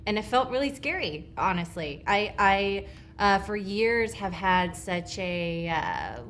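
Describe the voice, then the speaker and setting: A woman actor, raised in Los Angeles, answering interview questions in a thoughtful, measured way with pauses and fillers. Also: medium pitch, very animated, a bit bright, a little hiss or hum. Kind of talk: press junket interview. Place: studio set.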